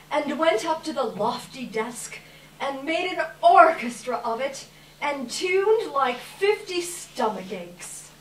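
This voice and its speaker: A woman's voice: high-pitched, slight background noise. A woman narrating a story in a live dramatic reading, heard from far off in an echoey hall. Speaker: narrator in a readers theater. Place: live event room, distant microphone.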